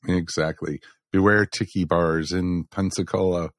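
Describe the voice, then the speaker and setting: An American man chats as a podcast host. His voice sits on the low side and the sound is very dry. The delivery is casual and conversational. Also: fairly even intonation, unhurried, no echo. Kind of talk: casual, chatty podcast hosting.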